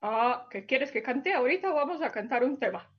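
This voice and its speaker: A woman voicing a gentle plush lion puppet who tells stories to small children in a sweet, coaxing way. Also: sits high, lively intonation, normal speed, breathy edge, dull audio. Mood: tender. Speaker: children's storyteller. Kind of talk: children's puppet show storytelling.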